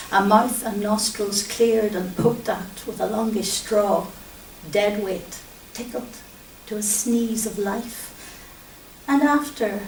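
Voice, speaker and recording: A woman reading her poetry aloud at a live event, in measured, deliberate phrases with pauses. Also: medium pitch, a little mellow. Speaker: poet reading own work. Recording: roomy, distant mic with faint hiss.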